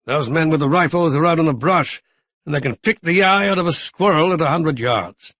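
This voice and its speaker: A man voicing a sharp middle-aged man who snaps curtly. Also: fairly high pitch, brisk. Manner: snapping, curt.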